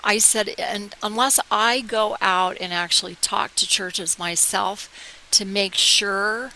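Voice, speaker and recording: A woman's voice: mid-range voice, fairly even intonation, slow pace, bright, forceful tone, rough recording. An older woman with an American accent, reminiscing in a casual, rambling storytelling way as an interview guest. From United States, military family abroad. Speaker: interview guest, organization founder. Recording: clear hiss behind the voice.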